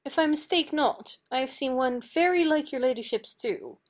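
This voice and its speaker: A woman's voice: high voice, animated, moderate pace. A woman playing a humiliated, bitter parson and wronged husband in an old stage comedy, theatrical in delivery. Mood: indignant. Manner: theatrical lamenting. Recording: muffled lo-fi audio.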